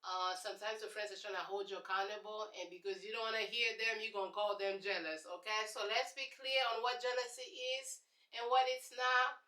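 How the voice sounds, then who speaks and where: A woman's voice: mid-range voice, level tone, fairly quick, bright, forceful tone, roomy sound. A young woman from Congo with a Congolese accent, answering viewer questions in a casual, sassy vlog. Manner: chatty, sassy, preachy asides. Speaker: YouTube host.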